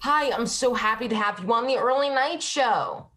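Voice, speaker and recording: An enthusiastic talk show host with a medium-pitched voice, gushing over guests in an upbeat interview style. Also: animated, moderate pace, sharp tone.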